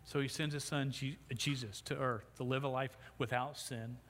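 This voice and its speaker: A man's voice: mid-range voice, fairly even intonation, moderate pace, a bit bright. Middle-aged male pastor with a stutter, preaching into a church mic, long hall echo. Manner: stutters, with repeated sounds and restarts. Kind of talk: sermon. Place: church sanctuary. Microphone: sound system microphone. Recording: long hall reverb, faint background noise.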